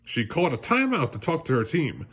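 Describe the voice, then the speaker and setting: Expressive man reading a children's story. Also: a little high, fairly quick, husky. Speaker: storybook reader. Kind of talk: read-aloud children's story narration.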